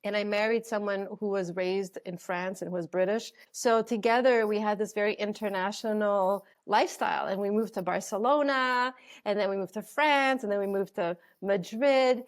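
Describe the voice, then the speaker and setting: A woman speaks at a middle pitch. She tells her life story as a podcast guest, thoughtful and earnest, letting her sentences flow on with small fillers. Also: normal speed. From Canada. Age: 40s. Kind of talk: reflective storytelling interview.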